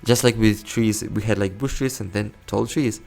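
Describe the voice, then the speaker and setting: A man's voice: lowish voice, steady tone, moderate pace, vocal fry, darkish tone. A young man giving casual, rambling commentary over a city-building game, correcting himself and chuckling often. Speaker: let's play host.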